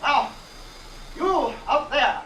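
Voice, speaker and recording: An actor playing a commanding, desperate wealthy patriarch in old radio drama style, imperiously giving orders. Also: male voice, very high voice, animated, very slow, bright, forceful tone, full character voice. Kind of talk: 1940s radio drama acting. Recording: echoey, distant, noisy old radio broadcast.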